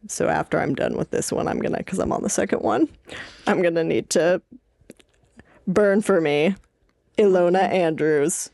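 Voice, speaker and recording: A young woman co-hosting a podcast, chatting casually in an informal, playful, slangy way with a creaky voice. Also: lowish voice, normal speed, long pauses, darkish tone. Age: young adult. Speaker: podcast co-host, English PhD student.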